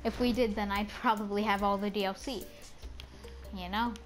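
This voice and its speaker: A woman's voice: medium pitch, fairly even intonation, normal speed, soft background music. A woman chatting casually and playfully over gameplay as a channel host, rambling informally.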